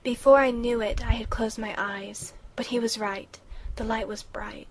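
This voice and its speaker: A woman's voice: on the high side, steady tone, normal speed, breathy edge, rough recording. A woman playing an anxious teenage schoolgirl who narrates and acts in an amateur audio drama, earnest and dramatic. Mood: uneasy narrating.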